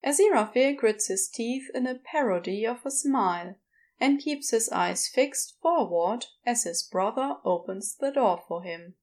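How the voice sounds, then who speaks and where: A woman's voice: a little high, lively intonation, unhurried, a little mellow. A woman narrating a scripted story in an audiobook style.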